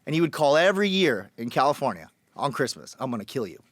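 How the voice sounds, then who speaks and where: A man's voice: medium pitch, sing-song, fast talker, dry recording, studio quality. A man from Colombia telling his story as a podcast guest, blunt and joking, acting out the people he quotes. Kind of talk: casual storytelling.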